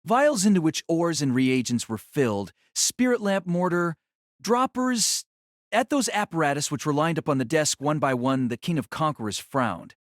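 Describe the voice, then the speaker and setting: A man's voice: a little high, lively intonation, moderate pace, dry recording, clean studio sound. A man narrating a novel as a scripted audio drama.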